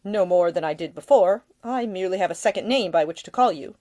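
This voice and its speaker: A woman narrator acts out a smitten Victorian gentleman from a storybook. He is blunt and earnest with a dry, teasing side, lowish in pitch and recorded close and dry. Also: expressive, brisk, no room sound, natural voice. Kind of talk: acted audiobook dialogue.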